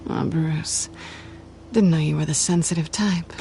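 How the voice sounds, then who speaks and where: A woman's voice: deep voice, a little slow, vocal fry, mellow, a little hiss or hum. A woman playing a sly, cynical cat burglar in a dramatic video game, teasing and coolly dismissive. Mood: mocking. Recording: background music under the voice.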